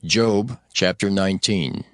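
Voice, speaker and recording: A man narrating chapter headings in a scripted reading, measured and deliberate. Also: a bit bright, dry recording, studio quality. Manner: deep, measured announcing.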